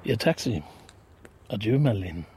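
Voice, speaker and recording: An actor playing a polite, opera-loving police detective in a radio play, musing and probing gently in a roundabout way. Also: male voice, fairly low pitch, lively intonation, measured pace, a little hiss or hum. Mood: courteous, wry. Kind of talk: naturalistic radio drama acting.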